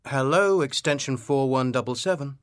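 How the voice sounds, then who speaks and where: An actor playing a friendly eighteen-year-old male student, speaking slowly and carefully in a scripted language-course dialogue. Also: medium pitch, no echo, studio quality, lightly acted. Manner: slow, careful, clearly spoken. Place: dry studio.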